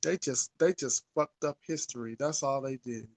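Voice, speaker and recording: A man's voice: medium pitch, moderate pace, darkish tone. A man talking casually and informally about history, repeating phrases for emphasis and swearing now and then.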